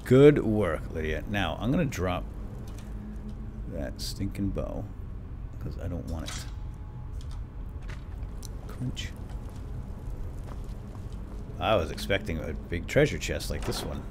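A man's voice: deep voice, lively intonation, takes it slowly, long pauses, noisy background. A man giving playful, theatrical, lightly role-played video game commentary, talking to his companion as he plays. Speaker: gaming video host. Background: game ambience and effects.

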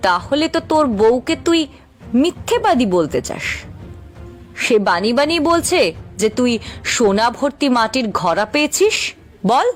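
A woman's voice: sits high, very animated, measured pace, pauses often, soft background music. A woman voicing a bossy, haughty landlord's wife in a cartoon folk tale. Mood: angry.

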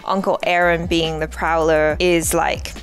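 A woman's voice: sits low, level tone, unhurried. A woman in her thirties co-hosting a reaction show, chatting casually into a headset mic. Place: small studio, indoors.

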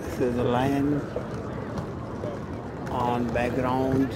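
Slow-paced Indian man vlogging in heavy noise. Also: mid-range voice, little pitch movement. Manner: halting, short repeated phrases. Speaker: vlogger and matchmaker. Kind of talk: casual selfie travel vlog. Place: outdoors in an open city square. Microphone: phone at arm's length. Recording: loud outdoor city square noise. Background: urban ambience and vehicles.

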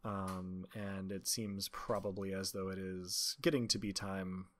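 Low-voiced man narrating haltingly. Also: little pitch movement. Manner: halting, wry. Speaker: game master. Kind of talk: improvised tabletop game narration.

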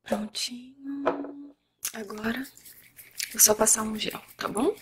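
A young woman with a Brazilian Portuguese accent speaks in a breathy whisper, soft and reassuring, in an unhurried ASMR hairdresser roleplay. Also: fairly high pitch, steady tone, over music. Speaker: ASMR creator playing a hairdresser. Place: small room at home, indoors.

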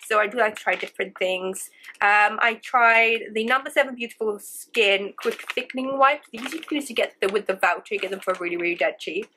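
A woman's voice: on the high side, noisy background. A woman in her twenties, slightly congested from a cold, chatting casually and gushing about products she has used up while seated facing the camera in her bedroom. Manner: chatty, gushing, rambling with fillers. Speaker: beauty vlogger. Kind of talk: casual product review chat. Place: bedroom with shelves, indoors.

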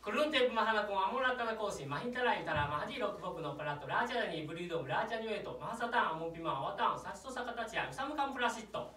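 A man's voice: sits high, expressive, racing, few pauses, background noise. A man playing a playful, restless young climber with a silly, eager manner in a live stage comedy sketch. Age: young adult. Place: small theatre stage, indoors. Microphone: far camera. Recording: distant, echoey theatre recording.

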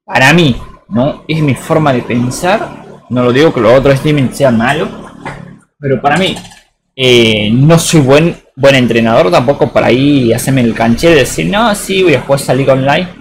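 A man's voice: medium pitch, moderate pace, slight background noise. A man with an Argentine accent from Tucumán, chatting casually and rambling through a live game stream. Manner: rambling, slangy, says boludo often. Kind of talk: casual live-stream chat while playing.

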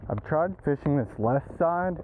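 Casual male outdoor vlogger, highish voice, buddy slang, narrow phone-like sound with faint noise. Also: expressive, a little slow. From East Texas, United States. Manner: informal, exclamatory, buddy-style slang. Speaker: angler vlogger. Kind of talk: casual outdoor vlog chat. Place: outdoors by a creek. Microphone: first-person action camera.

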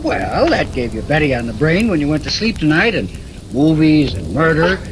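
An actor playing a teasing, slangy American husband in a 1940s radio drama, coaxing and jokey. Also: male voice, on the high side, very animated, moderate pace. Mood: teasing, patient. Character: teasing, wisecracking husband, a police reporter. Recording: noisy vintage radio recording, rain ambience. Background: rain and fireside ambience.

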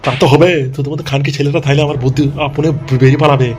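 A man playing a vengeful, irritable, foul-mouthed politician boss in an amateur comedy cartoon, gruff and scolding. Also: fairly high pitch, little pitch movement, very fast, a little mellow, natural voice.

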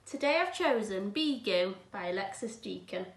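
A woman's voice: mid-range voice, expressive, moderate pace. A woman, reading a picture book aloud to young children as an infant teacher, expressively and with encouraging asides. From England. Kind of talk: children's storybook read-aloud. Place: small room at home, indoors. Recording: roomy, echoey indoor sound.

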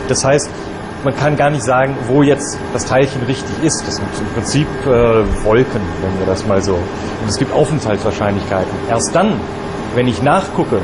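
A man's voice: medium pitch, normal speed. A man who works as a physicist, explaining science to lay listeners in plain words. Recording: very noisy location, urban outdoor ambience.

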